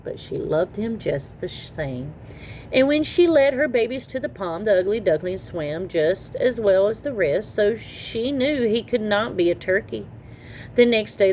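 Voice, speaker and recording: A woman reading a children's story aloud in an expressive, animated storytelling manner. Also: mid-range voice, measured pace, low-quality recording. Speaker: children's story reader and channel host. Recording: noticeable background noise.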